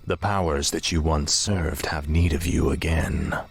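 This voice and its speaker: An actor playing a cold, remorseless young prince turned death knight in a fantasy game, grim and imperious. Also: male voice, a bass voice, fairly even intonation, measured pace, creaky, slightly rough, exaggerated voice. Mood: cold, impatient. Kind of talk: fantasy video game acting. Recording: music under the voice.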